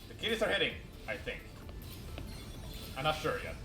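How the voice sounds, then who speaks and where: A man chatting casually and excitably while playing a video game, often exclaiming. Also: a little high, normal speed, roomy sound, music in the background. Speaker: player in a group fandub playthrough. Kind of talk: casual gaming banter.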